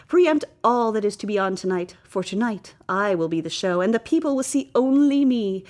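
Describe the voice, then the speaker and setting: A woman's voice: fairly high pitch, a little mellow, lightly acted. A woman voicing a vain, commanding male emperor in a storybook tale, declaiming pompously.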